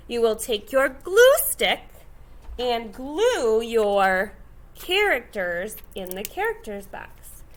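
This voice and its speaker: A woman's voice: a little high, bright, forceful tone. A woman teacher giving step-by-step instruction to young children, phrasing things simply, stressing key words and asking guiding questions. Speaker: elementary school teacher.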